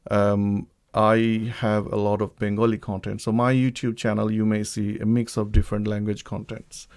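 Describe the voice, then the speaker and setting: Guy from bangladesh doing a livestream intro, lowish voice, lots of ums and restarts. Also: flat delivery, moderate pace, darkish tone, clear, resonant tone. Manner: hesitant, many ums and restarts. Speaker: livestream host. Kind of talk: live-streamed talk introduction. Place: home setup, living in the UK.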